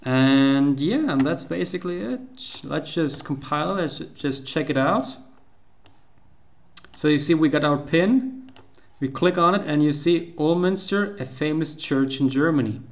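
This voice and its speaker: A man walking through a coding tutorial over a screen recording, unhurried and full of fillers and restarts. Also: on the high side, expressive, gravelly, highs cut off. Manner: unhurried, explaining step by step with many fillers and restarts. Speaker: programming tutorial host.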